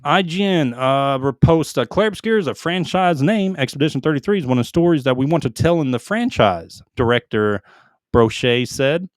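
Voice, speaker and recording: A man co-hosting a casual gaming podcast, reading headlines aloud with quick asides and stumbling over foreign names. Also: a little high, expressive, no echo. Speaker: podcast co-host reading news.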